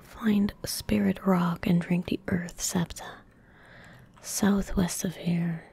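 Whispering young woman, slow ASMR. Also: lowish voice, level tone. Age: young adult. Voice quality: whispery, breathy, unvoiced. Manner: gentle, unhurried, murmured asides to self. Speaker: ASMR gaming streamer. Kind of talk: soft-spoken ASMR game commentary. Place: indoor room at a computer. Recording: faint background noise, game ambience. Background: soft game ambience, faint noise.

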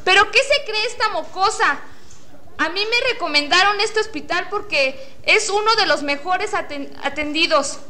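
Woman acting very high-pitched, frantic mother. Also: animated, normal speed, pushed and bright, exaggerated voice. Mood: indignant. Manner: agitated, pleading, theatrical. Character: anxious, indignant, overprotective mother of a teenage boy. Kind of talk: student radio drama acting. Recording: faint noise, amateur classroom radio recording.

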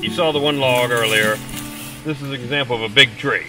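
Middle-aged male agent, casual upbeat tour voice, heard from a distance outdoors over guitar music. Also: a little fast. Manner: upbeat, informal sales pitch. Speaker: real estate agent. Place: outdoors in woods by a creek.

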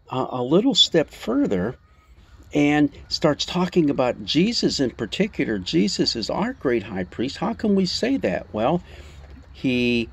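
A man in his sixties giving an informal Bible talk, explaining scripture in halting, emphatic phrases with rhetorical questions. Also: mid-range voice, gravelly, fairly bright tone, slightly rough. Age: 60s. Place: outdoors on a covered porch among greenery. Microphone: phone or camera at arm's length.

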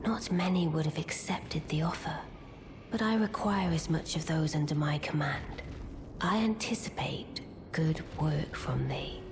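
A woman's voice: deep voice, a little slow, noisy background. An actress playing an aloof, imperious witch in a video game, speaking in stately archaic English. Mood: aloof, composed. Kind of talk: scripted video game character dialogue. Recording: loud music behind the voice. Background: music.

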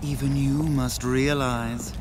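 A man's voice: mid-range voice, little pitch movement, takes it slowly, noisy background, close to an everyday voice. An actor playing a sadistic, gloating male villain in a fantasy game, mocking and theatrical, drawing out his words. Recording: music behind the voice.

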